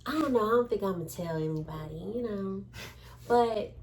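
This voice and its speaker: A woman's voice: medium pitch, moderate pace, a little hiss or hum. A woman telling a story in a casual chat, acting out the quoted dialogue as she goes. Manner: animated retelling with acted-out quotes.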